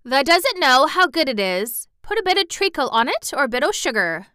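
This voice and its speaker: A woman's voice: high-pitched, sing-song, normal speed, sharp tone, dry recording, clean studio sound, pitched above the performer's own voice, full character voice. A woman putting on a chatty Yorkshire maid. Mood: indignant. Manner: broad dialect, rattling and outspoken. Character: chatty good-tempered young Yorkshire maid.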